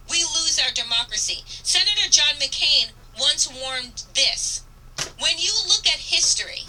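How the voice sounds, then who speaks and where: A woman talk host delivers earnest, emphatic political commentary in a sharp, very bright voice. She reads deliberately and leans hard on key phrases. The mic sounds somewhat distant, with faint noise behind her. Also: sits high. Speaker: radio talk show host. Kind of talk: impassioned political commentary reading from an op-ed.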